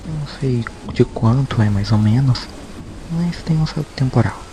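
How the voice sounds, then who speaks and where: A man's voice: medium pitch, normal speed, background noise. A man with a Brazilian accent informally narrating a story recap as a video host, in a conversational way with repetitive phrasing. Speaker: YouTube host.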